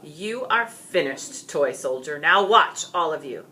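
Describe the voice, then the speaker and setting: A woman plays a cold, menacing male dragon from a storybook. The taunts are theatrical and drawn out, with an animated, forceful delivery and a faint noise behind the voice. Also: low voice, measured pace, heavily acted. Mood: mocking menace.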